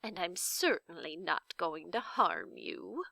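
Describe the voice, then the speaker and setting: A woman voicing a kindly, dignified old witch in a children's storybook, gentle, deliberate and formal in manner. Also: on the low side, expressive, vocal fry, dry recording, pitched below the performer's own voice, heavily acted. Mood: calm, amused. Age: older. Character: kindly, dignified old lady, a not-so-wicked official witch. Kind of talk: children's storybook read-aloud.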